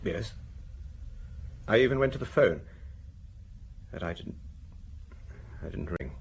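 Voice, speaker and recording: An actor playing a shaken, vain husband in a radio drama, speaking in a halting, anguished, defensive way. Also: male voice, on the low side, expressive, vocal fry, slightly rough, heavily acted. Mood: hesitant, troubled. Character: shaken husband, a vain well-dressed provincial, wife found murdered.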